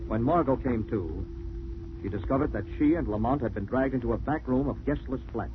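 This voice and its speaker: A man's voice: mid-range voice, a little fast, music in the background. An American man reading scripted narration and sponsor messages as a 1940s radio announcer. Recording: scratchy, narrow-band 1940s radio recording.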